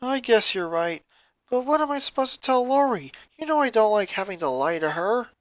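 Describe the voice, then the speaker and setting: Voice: mid-range voice, sing-song, moderate pace, a bit bright, no echo, voice pushed up. A reader voicing an easygoing but reluctant teenage older brother in a story. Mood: reluctant, uneasy.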